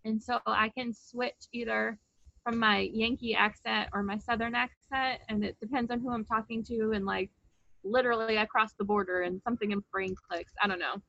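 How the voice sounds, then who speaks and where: A woman's voice: a little high, fairly even intonation, fairly quick, sharp tone, no echo. A woman in her thirties, co-host of a crafting chat, talking casually and laughing easily over a video call from home. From New Hampshire and Virginia, US. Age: 30s. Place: home room. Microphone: webcam on video call. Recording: dull lo-fi call audio.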